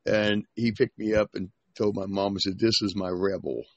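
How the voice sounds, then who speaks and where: A man's voice: on the low side, fairly even intonation, normal speed, vocal fry, no room sound, dull audio. A man from Georgia telling plain stories in casual chat.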